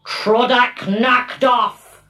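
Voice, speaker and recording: Casting: greedy storybook moon king spouting gibberish, slow and raised in pitch, played by a woman, music bed. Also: steady tone, bright, forceful tone, full character voice. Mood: commanding. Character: greedy moon creature king, gruff alien gibberish speaker. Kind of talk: children's storybook character voice.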